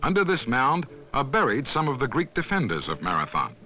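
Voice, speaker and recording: A man reads scripted documentary narration in a measured, instructional manner, as on a 1970s educational film soundtrack. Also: mid-range voice, wildly swooping pitch, bright, forceful tone, soft background music, low-quality recording.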